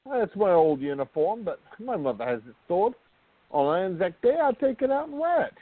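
An actor playing a friendly, boyish Australian soldier in a radio play, on a thin recording. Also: male voice, high voice, big pitch swings, normal speed, dry recording. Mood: friendly, proud. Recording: muffled, thin phone-like audio.

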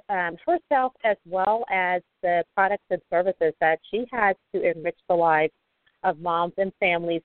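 Upbeat woman host, lowish voice, dry phone-line sound. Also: no echo. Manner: upbeat, chatty, many fillers. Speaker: talk show host. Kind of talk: casual online radio interview.

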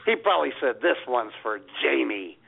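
A male radio co-host trading casual comic banter. His voice has a gravelly, creaky edge and moves around a lot in pitch, at an ordinary middle register for a man. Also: expressive, moderate pace, narrow, tinny audio. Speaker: co-host of a comedy radio show.

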